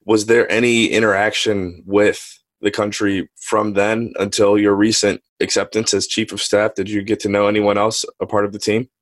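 A man's voice: fairly low pitch, level tone, moderate pace, few pauses, no echo. A man hosting a podcast, asking friendly, curious interview questions. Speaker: podcast host.

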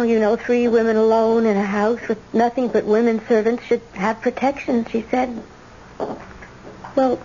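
An actress playing an anxious, distraught young granddaughter, halting and pleading, in an old-time radio drama. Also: female voice, a little high, mellow. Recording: muffled, hissy old radio recording.

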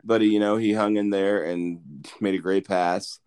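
Low-voiced man chatting football stats. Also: steady tone, darkish tone, clear, resonant tone. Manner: rattles off game stats, halting with fillers. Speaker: podcast host. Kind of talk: casual sports chat.

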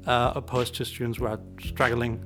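A male teacher, a native German speaker, answering interview questions in English in a measured, hesitant way. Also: medium pitch, flat delivery, music in the background. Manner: measured, hesitant with many fillers.

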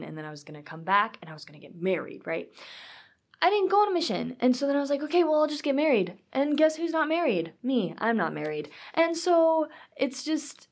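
A young woman chatting casually in an upbeat, emphatic way, co-hosting a conversation. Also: medium pitch.

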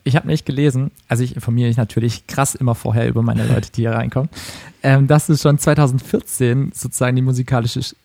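A slightly hoarse German man hosting a casual interview, chatty and quick to laugh. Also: mid-range voice, fairly even intonation, dark tone, no room sound. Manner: fast, chatty, laughs often. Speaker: podcast host, musician.

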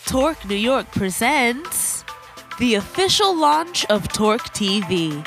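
A woman reading a scripted promo in a slow, polished announcer delivery. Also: a little high, very animated. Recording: promo with loud music bed.

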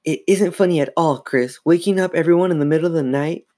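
A strict, weary mother from a storybook, acted by a man. The pitch is raised and the delivery is stern and exasperated, snapping and scolding with open anger. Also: quick pace, mellow, lightly acted.

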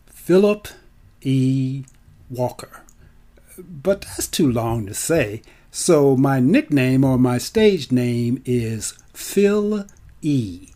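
A man in his seventies telling his own story on mic in a theatrical, emphatic way, enunciating deliberately. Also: medium pitch, expressive, warm tone. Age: 70s. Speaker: featured storyteller and actor. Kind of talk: autobiographical storytelling to an audience on mic.

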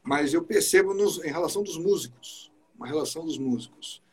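A man's voice: on the high side, expressive, brisk, darkish tone, slightly rough. A man from Espírito Santo with a capixaba accent, chatting casually over a video call and often repeating words as he starts a sentence. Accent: capixaba Portuguese. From Espírito Santo, Brazil.

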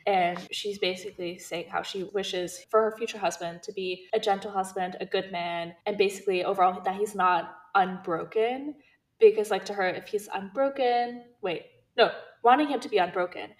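A woman co-hosting a book podcast in casual conversation, speaking in an animated, opinionated and emphatic way. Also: medium pitch, normal speed, rough recording. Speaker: co-host of a book discussion podcast.

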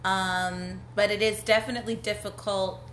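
A woman's voice: medium pitch, steady tone, moderate pace, fairly bright tone. A woman in her thirties, a podcast guest, sharing her dating views candidly and thinking aloud. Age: 30s. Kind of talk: casual conversation. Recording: echoey room with faint noise.

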